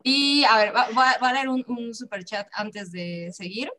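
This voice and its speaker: A woman's voice: a little high, expressive, unhurried, bright, forceful tone, a little hiss or hum. A Mexican woman co-hosting a live stream show, chatting casually and reading out fan messages with frequent laughter. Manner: laughing, playful. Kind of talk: casual chat, reading superchats.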